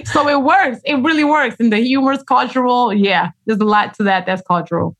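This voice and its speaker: A woman's voice: a little high, moderate pace. A woman author in a casual interview, speaking expressively, acting out quoted voices and using slang. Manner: expressive storyteller who acts out quoted voices, uses slang. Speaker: author guest.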